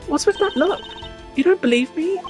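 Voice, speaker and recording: A woman voicing a spirited, idealistic teenage girl thief from a video game, with a perky, earnest, expressive delivery. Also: very high voice, moderate pace. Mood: insistent. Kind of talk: voiced reading of video game dialogue. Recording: game music behind the voice. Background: game music.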